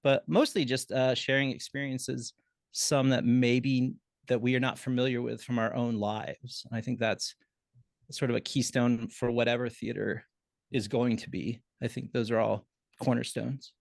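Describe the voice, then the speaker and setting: A man's voice: mid-range voice, flat delivery, moderate pace, creaky, no echo, clean studio sound. A man giving a reflective, tentative answer as a panelist, piecing his thoughts together as he goes.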